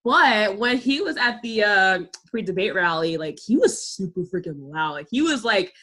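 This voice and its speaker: A woman hosting a casual chat, animated and talking with a lot of "like" fillers. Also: mid-range voice, moderate pace. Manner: animated, heavy use of "like". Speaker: host.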